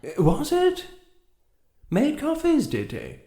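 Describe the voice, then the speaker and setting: A man's voice: extremely high pitch, huge pitch swings, slow, vocal fry, mellow, reverberant, full character voice. A man playing a vague, dithering male king who stammers and fumbles for words.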